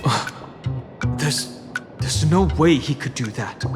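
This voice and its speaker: Mild young man for a game: concerned, halting, very slow, mid-pitched, clear music behind. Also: expressive. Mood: doubtful. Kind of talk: scripted video game acting.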